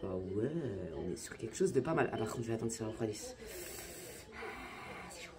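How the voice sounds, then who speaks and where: A woman's voice: very deep voice, big pitch swings, a little fast, a loud crowd. A young woman vlogging in a casual, slangy, exclamatory way, chatting straight to her viewers. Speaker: lifestyle vlogger. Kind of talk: casual vlog chat.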